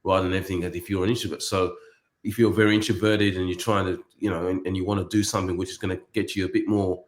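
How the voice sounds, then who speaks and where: A man in a casual panel discussion, speaking haltingly and often repeating and rephrasing his words. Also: low-pitched, level tone. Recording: echoey room sound.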